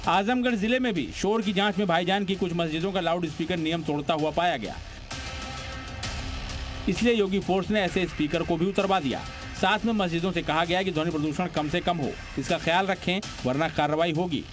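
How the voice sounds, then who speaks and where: A man's voice: high voice, brisk. A man reading a scripted news report as narrator, with a dramatic, punchy delivery. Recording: music bed behind the voice. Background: prominent background music.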